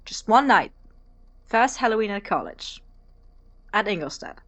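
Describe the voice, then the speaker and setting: A woman's voice: medium pitch, big pitch swings, measured pace, a bit bright. A woman playing a playful, outgoing college student in an audio drama, teasing and coaxing as she talks. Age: young adult. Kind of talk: naturalistic audio drama acting.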